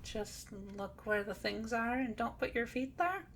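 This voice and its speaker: A woman playing a timid, sweet, calm young cat girl in a roleplay audio drama, soft-spoken, hesitant and gently dry. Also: fairly high pitch, a little slow, gravelly, slight background noise, heavily acted.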